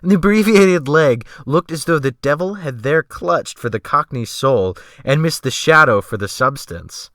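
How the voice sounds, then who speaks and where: A man narrating an audiobook as a dramatic reading, with measured, formal and emphatic phrasing. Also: a little high, expressive, fairly bright tone, dry recording, clean studio sound.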